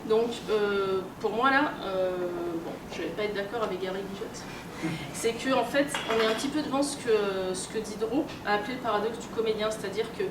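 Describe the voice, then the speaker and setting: Heard from off in an echoey room full of loud noise, a woman gives an informal talk at a medium pitch. Her delivery is colloquial and hesitant, working out her phrasing as she goes. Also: fairly quick. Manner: hesitant, colloquial, thinking aloud. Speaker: conference speaker, researcher and editor. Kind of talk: informal lecture on role-playing games. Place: convention room, in front of an audience. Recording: echoey, very noisy room, distant mic.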